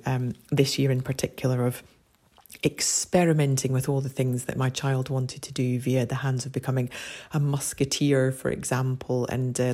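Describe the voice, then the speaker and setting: A middle-aged Scottish woman hosting a podcast, talking in an earnest, rambling way with many fillers, her voice deepened and husky after a late night. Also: dark tone. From Scotland. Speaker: podcast host and somatic coach. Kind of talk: reflective solo podcast talk.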